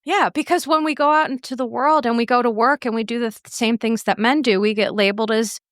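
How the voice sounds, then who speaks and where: Outspoken woman podcast host, animated chat. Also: a little high, fast, no echo, studio quality. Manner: animated, outspoken, swears freely. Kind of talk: casual chat.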